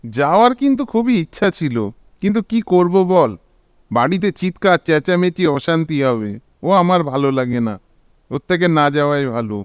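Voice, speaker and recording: A man's voice: a little high, animated, normal speed, no room sound, voice pushed down, lightly acted. Man acting a hesitant young Bengali village guy. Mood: reluctant. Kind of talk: cartoon dialogue. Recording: clear background noise.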